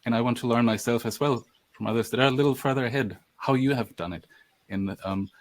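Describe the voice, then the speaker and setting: A man speaking on a panel in a measured, articulate way. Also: mid-range voice. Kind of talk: panel discussion.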